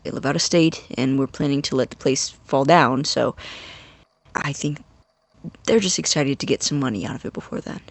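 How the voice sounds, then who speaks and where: An actor plays an eager young student scientist in an audio drama, with an earnest, halting delivery and a secretive streak. The pitch is middling and the sound is very dry and close. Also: gravelly, no room sound.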